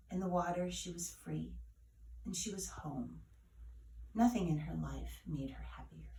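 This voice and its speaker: A woman reading a legend as scripted storytelling, with measured, dramatic phrasing. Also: medium pitch. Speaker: author reading her retold legend. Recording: roomy echo, distant from mic.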